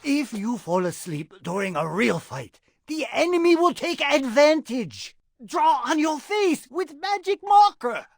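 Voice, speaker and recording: Gravelly, swooping old rat sensei. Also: male voice, very high voice, very animated, unhurried, few pauses, no room sound, exaggerated voice. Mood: stern, emphatic. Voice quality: raspy, gravelly. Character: aging mutant rat father, eccentric martial arts teacher. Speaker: martial arts teacher. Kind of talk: animated game voice acting.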